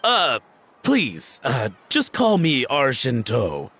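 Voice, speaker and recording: A man's voice: medium pitch, wildly swooping pitch, slow pace, fairly bright tone, a little hiss or hum, exaggerated voice. A man playing a cowed, eager-to-please smuggling suspect in a video game, stammering and deferential. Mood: nervous.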